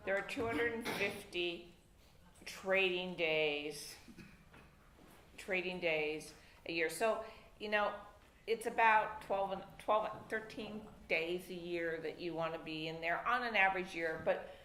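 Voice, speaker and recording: A woman panelist explains finance matters in a measured, patient way. Her tone is bright and forward. The voice echoes through an event hall over a faint hum. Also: on the low side. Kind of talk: unscripted panel discussion. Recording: echoey room.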